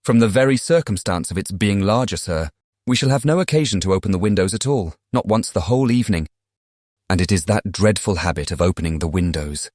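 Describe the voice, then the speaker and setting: A man with a British accent playing a charming, persuasive young Regency gentleman in a dramatized audiobook, speaking politely and eloquently. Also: deep voice, dry recording, clean studio sound, close to an everyday voice. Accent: British English.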